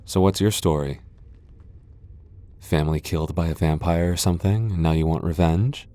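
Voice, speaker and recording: A man's voice: sits low, steady tone, moderate pace, dark tone, no echo, natural voice. A man playing a proud, sardonic vampire lord in a fantasy ASMR roleplay, with a dry, sighing delivery. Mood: defiant, weary. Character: proud, sardonic male vampire lord, cornered yet defiant. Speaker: voice actor in a roleplay audio.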